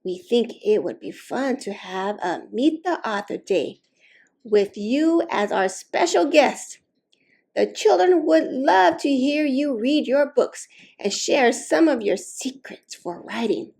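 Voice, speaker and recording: Woman reading as an encouraging, slow-spoken storybook head librarian. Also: on the high side, animated, creaky, a little mellow, full character voice. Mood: warm, admiring. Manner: polite, encouraging, deliberate. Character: kindly, enthusiastic female head librarian. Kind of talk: storybook read-aloud.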